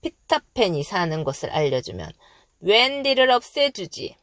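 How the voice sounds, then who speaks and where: Woman voicing a gloating, drawn-out pirate captain. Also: on the low side, very animated, no room sound. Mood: sly, scheming. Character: menacing gloating male pirate captain, scheming villain.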